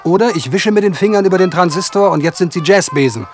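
A man's voice: high voice, expressive, fast talker, over music. A man acting a cheeky, boastful young would-be jazz drummer in a German radio play. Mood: cheeky, eager.

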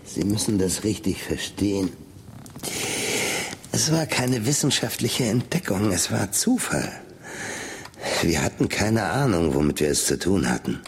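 A man's voice: low voice, sing-song, measured pace, pauses often, a little hiss or hum, full character voice. A man playing a thoughtful, reserved scientist in a radio drama, speaking in a hesitant, reflective way. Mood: earnest, explaining. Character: thoughtful, reserved male scientist and wealthy employer.

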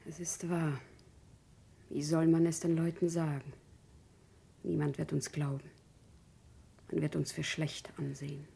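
An actress playing an impassioned, defiant shopkeeper's wife in Paris, pleading dramatically in a classic German radio play. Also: female voice, low voice, level tone, unhurried, gravelly, long pauses, mellow, breathy edge, heavily acted. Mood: fearful, hushed.

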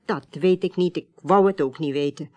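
An actress plays a reserved widow in a radio drama. Her voice is soft-edged and mellow, and she speaks in halting, polite phrases while quietly insisting on answers. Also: low-pitched, natural voice. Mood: insistent, troubled. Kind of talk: naturalistic Dutch radio drama acting.

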